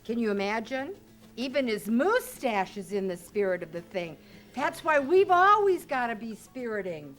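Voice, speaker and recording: A woman's voice: a little high, very animated, normal speed, a bit bright. An older woman hosting, chatting casually and coaxingly around a children's story reading. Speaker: host. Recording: music playing behind the voice.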